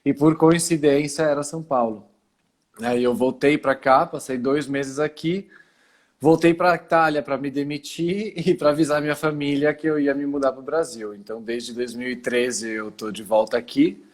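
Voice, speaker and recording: A man from Italy joins a video call through his phone and talks in a calm, explanatory way. His voice sits at an ordinary middle pitch. He often pauses briefly to collect his thoughts before going on. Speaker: guest, cultural center founder. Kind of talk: casual video call interview.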